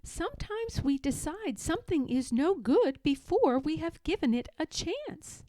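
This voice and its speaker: A woman voicing a calm, wise woman artist in a storybook, advising in a measured manner. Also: sits high, no room sound, pitched above the performer's own voice, poor audio. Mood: gentle advice. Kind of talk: storybook character voice.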